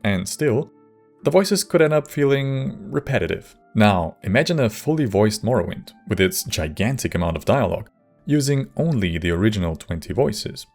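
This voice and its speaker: A man reading scripted video essay narration in a measured, wry manner. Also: on the low side, big pitch swings, vocal fry, a little mellow, soft background music.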